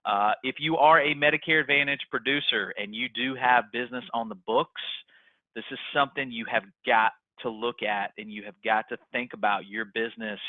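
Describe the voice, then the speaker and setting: A man's voice: lowish voice, no room sound. Man on a dull conference-call line. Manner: explanatory, folksy, emphatic on key points. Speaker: marketing manager giving agent training. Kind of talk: informal business training presentation.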